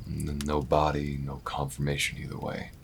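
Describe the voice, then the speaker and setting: Man voicing a very deep, rugged alien bounty hunter. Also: completely flat delivery, moderate pace, creaky, slight background noise. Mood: wistful, reflective. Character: rugged, easygoing alien male bounty hunter, warm and weathered. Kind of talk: intimate slow-paced audio roleplay.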